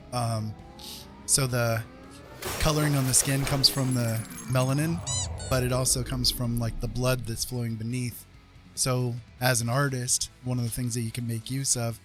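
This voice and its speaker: A man hosting a casual livestream, speaking earnestly and haltingly with frequent pauses mid-sentence. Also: medium pitch. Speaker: livestream host and artist. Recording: music playing behind the voice. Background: music.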